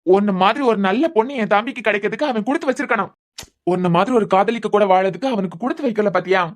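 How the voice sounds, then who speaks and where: A woman's voice: mid-range voice, racing, close to an everyday voice. A woman voicing a bitter, hurt young husband, sarcastic and repeating words in anger. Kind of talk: amateur audio drama.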